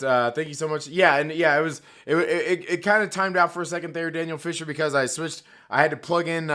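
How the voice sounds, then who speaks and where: A man hosting a live stream, talking casually and profanely while his voice is hoarse, joking and reading chat aloud. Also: mid-range voice, sharp tone.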